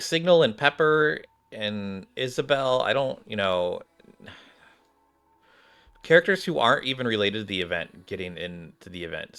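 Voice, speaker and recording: A male streamer with a low voice gives casual gameplay commentary. He talks in slangy, grumbling asides, and game music plays faintly behind him. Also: vocal fry, bright, forceful tone.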